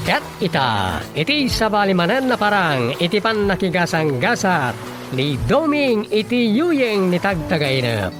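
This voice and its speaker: A man with a Filipino Ilocano accent delivering theatrical, deliberate scripted narration as a radio drama announcer. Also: high voice, huge pitch swings, over music.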